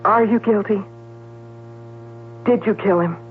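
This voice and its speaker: A woman's voice: medium pitch, unhurried, slight background noise, natural voice. Tinny radio-drama actress as a breezy, self-assured woman columnist. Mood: solicitous. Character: brisk, self-assured woman newspaper columnist, coolly solicitous. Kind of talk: 1970s radio drama acting. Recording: tinny, humming old radio broadcast recording.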